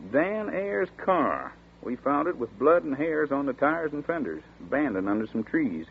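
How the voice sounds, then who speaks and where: An actor playing a chatty small-town sheriff's deputy who talks in a folksy rural dialect, in 1940s radio acting. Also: male voice, a little high, big pitch swings, moderate pace, vocal fry. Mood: storytelling. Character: folksy drawling small-town male deputy, middle-aged. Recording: tinny scratchy 1940s radio broadcast.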